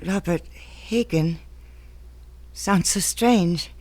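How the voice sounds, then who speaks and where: An actress in a radio drama playing a devoted, loving fiancée, speaking tenderly and intimately. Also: female voice, on the low side, very slow, a little mellow, no echo. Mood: tender, supportive.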